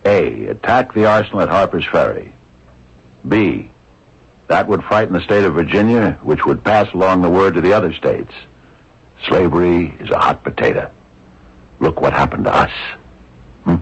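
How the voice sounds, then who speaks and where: An actor playing a zealous, determined abolitionist leader in a radio drama, husky and emphatic, laying things out with insistence. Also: male voice, low-pitched, lively intonation, moderate pace, long pauses, full character voice. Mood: calculating, intent. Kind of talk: 1980s radio drama acting. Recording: dull old radio recording.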